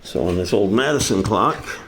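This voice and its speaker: A man's voice: lowish voice, expressive, normal speed, rough recording. A man casually demonstrating a hands-on repair, muttering to himself as he works. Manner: muttering to himself while working. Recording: faint background noise.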